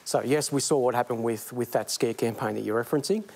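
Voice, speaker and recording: A man's voice: medium pitch, fast talker, creaky, a little mellow. A man giving an analytical political assessment on a panel. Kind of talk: panel debate.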